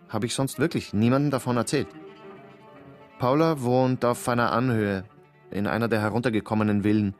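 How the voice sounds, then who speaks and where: A man's voice: medium pitch, natural voice. A man voicing a reflective male theater actor who narrates his story in the first person.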